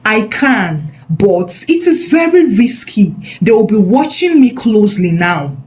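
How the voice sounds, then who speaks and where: A woman's voice: mid-range voice, big pitch swings, a little slow, darkish tone. A woman voicing a conflicted, remorseful male insider with a grave, confiding manner in a story.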